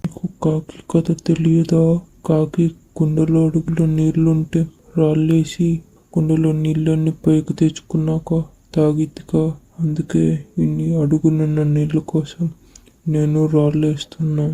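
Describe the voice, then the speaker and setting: A woman voicing a naive, gullible, slow-witted talking watermelon in an animated moral story. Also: low voice, breathy edge, poor audio. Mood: earnest.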